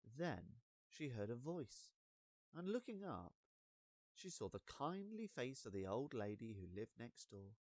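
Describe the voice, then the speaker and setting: A man reading a children's storybook as an expressive narrator, with deliberate dramatic pauses and drawn-out words. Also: medium pitch, dry recording.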